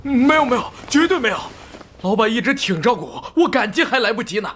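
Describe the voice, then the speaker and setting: An actor playing a timid, flustered, overworked employee in an animated crime drama, nervous, stammering and pleading. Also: male voice, very high voice, fairly quick, a little hiss or hum, exaggerated voice. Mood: anxious, placating.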